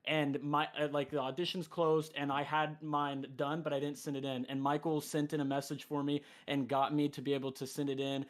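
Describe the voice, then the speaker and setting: A man's voice: a little high, little pitch movement, a little fast, hardly any pauses. A man voice actor talking on a video call, earnest and joking, rambling a bit. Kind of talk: casual guest talk.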